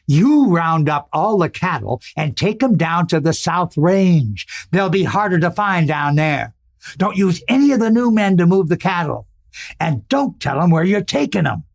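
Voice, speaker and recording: A man's voice: sits high, very animated, moderate pace, gravelly, heavily acted. An American man playing a stern, suspicious ranch boss who gives commanding orders in a Western radio drama. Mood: stern, determined. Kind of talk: old-time Western radio drama acting.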